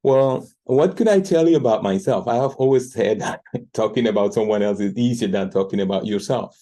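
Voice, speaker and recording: A man's voice: fairly low pitch, brisk, warm tone. A man in his forties with a Dominican Spanish accent, introducing himself earnestly as a language tutor from his home teaching office, speaking into his computer camera. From Dominican Republic. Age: 40s. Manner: earnest, with restarts and fillers.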